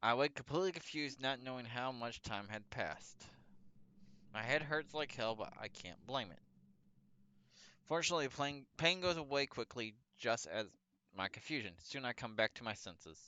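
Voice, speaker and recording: A man's voice: medium pitch, animated, moderate pace, pushed and bright, clean tone. A man reading a visual novel aloud as a let's player, stumbling over words now and then, with casual asides. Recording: music behind the voice. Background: music.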